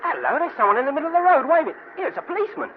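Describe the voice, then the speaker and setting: Dull old radio sound, cheeky young sidekick, very quick, wildly animated, eager. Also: male voice, extremely high pitch, background noise, exaggerated voice. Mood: excited. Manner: chirpy, colloquial, inquisitive. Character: cheeky, eager young male detective's assistant. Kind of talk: classic radio drama acting.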